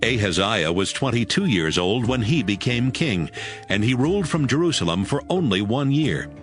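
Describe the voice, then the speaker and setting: A man's voice: medium pitch, expressive, normal speed. A man reading scripted narration for a dramatized audio Bible. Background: music.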